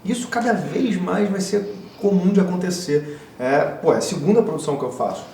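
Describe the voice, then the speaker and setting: A man's voice: sits high, lively intonation, a little fast, dark tone, reverberant, distant mic, a little hiss or hum. A man, an actor answering interview questions in a casual, enthusiastic, slangy way.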